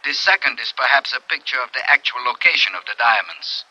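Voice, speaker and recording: A man's voice: medium pitch, expressive, fairly quick, noisy background, lightly acted. An actor playing a formal, inquisitive man, speaking precisely in an old-time radio drama. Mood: speculative. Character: formal, inquisitive European man advising the detective. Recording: muffled old radio recording.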